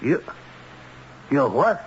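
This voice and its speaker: An actor playing a swamped, blustering but weak office boss in an old-time radio drama, spluttering and husky. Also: male voice, mid-range voice, big pitch swings, drawn-out words, exaggerated voice. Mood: flustered. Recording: tinny old radio recording.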